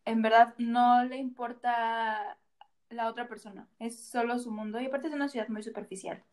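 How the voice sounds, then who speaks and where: A woman's voice: a little high, steady tone, moderate pace, darkish tone. A woman with a Mexican accent, a guest in a casual chat, giving candid opinions with frequent fillers. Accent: Mexican Spanish.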